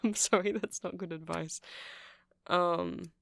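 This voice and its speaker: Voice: mid-range voice, steady tone, takes it slowly, gravelly, fairly bright tone, no room sound, clean studio sound. A performer voicing a chatty, nerdy male vampire shopkeeper in an ASMR roleplay, rambling hesitantly and apologetically as they explain things. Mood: helpful, unsure. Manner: hesitant, rambling, self-correcting. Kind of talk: ASMR roleplay, casual rambling sales chat.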